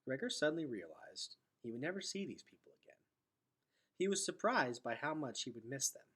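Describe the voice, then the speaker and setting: A man reading a storybook aloud as host. His voice sits at a medium pitch with very animated intonation and a husky texture. The narration is expressive. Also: fairly quick.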